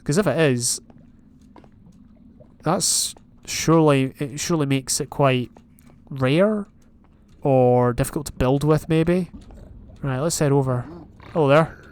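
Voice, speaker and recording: A man's voice: fairly high pitch, a little slow, a little mellow. A young Scottish man casually commentating his own game, thinking aloud and reading out achievements as he plays. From Scotland. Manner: chatty, thinking aloud, reading advancements while playing. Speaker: gaming YouTuber. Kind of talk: casual Minecraft let's play commentary.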